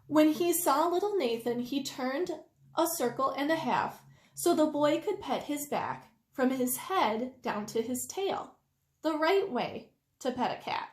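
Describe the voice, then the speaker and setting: A woman reading a children's story aloud expressively, with deliberate, drawn-out emphasis. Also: high-pitched, lively intonation. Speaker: storytime reader. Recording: roomy indoor echo.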